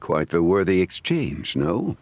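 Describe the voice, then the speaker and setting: A man playing a refined, erudite, courteous gentleman in a video game, speaking formally and eloquently in a calm, measured way. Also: deep voice, slight background noise. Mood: gracious, persuasive.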